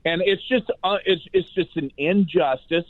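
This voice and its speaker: A man's voice: sits high, moderate pace, no echo. A man calling in to a talk show, chatting in a casual, opinionated, rambling and colloquial way full of anecdotes. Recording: thin phone-like line.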